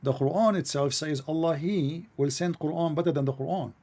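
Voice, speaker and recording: Man hosting a call-in debate, English shaped by his native Arabic, voice pitched a little high yet mellow and dark in tone. He presses hard, repeating his questions until he gets an answer, his grammar loose and non-native. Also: a little fast. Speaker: call-in show host.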